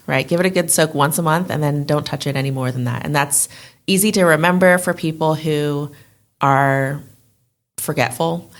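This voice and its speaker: A woman speaking casually and informally as an interview guest, in a chatty way. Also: low voice. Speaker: guest, plant shop owner.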